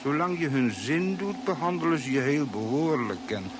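A male actor playing a grave, fatalistic, knowing ally on Mars in a mid-century radio drama, measured and sombre. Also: fairly high pitch, expressive, lightly acted. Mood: grave, explanatory. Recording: old radio recording with buzzing hum.

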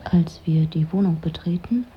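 A woman's voice: lowish voice, moderate pace, highs cut off, a little hiss or hum, natural voice. An actress playing a disillusioned, sardonic middle-aged woman, delivering a dry, brooding literary monologue in a radio drama. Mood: brooding, reflective.

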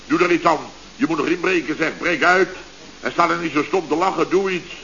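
An actor playing a bossy, easily panicked contractor boss in an exasperated, sputtering 1970s Dutch radio comedy style, with a Netherlands accent. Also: male voice, on the high side, a little fast, vocal fry, bright, forceful tone. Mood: exasperated, commanding. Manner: exasperated, commanding, exclamatory, sputtering. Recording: dull, hissy old radio recording.

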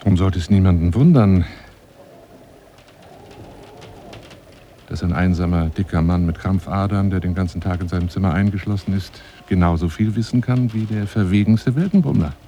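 A man's voice: low voice, brisk, few pauses, mellow, slight background noise, natural voice. An actor playing a lonely, self-important bookish man in a radio drama monologue, theatrical and rambling, often dropping to a near-whisper. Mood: proud, confiding.

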